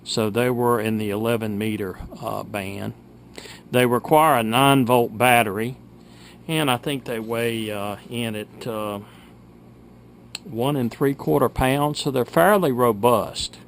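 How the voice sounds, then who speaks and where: Slow-talking, gravelly middle-aged man. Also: mid-range voice. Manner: folksy, chatty, reminiscing. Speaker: video host. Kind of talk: casual vintage gadget showcase. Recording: steady background noise.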